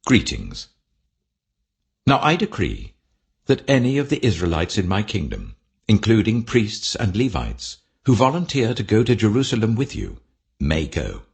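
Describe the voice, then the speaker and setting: A man's voice: fairly low pitch, huge pitch swings, a little slow, vocal fry, exaggerated voice. A British man voicing an imperious ancient king proclaiming a decree, commanding and stately, in a dramatic scripture audiobook.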